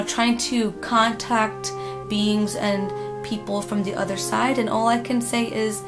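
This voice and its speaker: A woman telling a spooky personal story casually, in a dramatic, emphatic manner with pauses. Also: on the high side. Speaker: storytime vlogger. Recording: music playing behind the voice. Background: background music.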